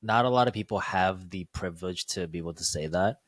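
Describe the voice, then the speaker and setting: A man's voice: deep voice, quick pace, dry recording. A young man who moved from Korea to the Chicago suburbs as a child, co-hosting a podcast in a friendly, encouraging manner. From Korea, raised in Chicago suburbs from age nine. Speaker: podcast co-host. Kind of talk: casual chat.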